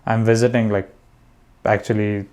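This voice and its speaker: A man's voice: on the low side, flat delivery, normal speed, a little mellow. A young man from India, a comedian guest, talking casually with many restarts and fillers. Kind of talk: casual conversation.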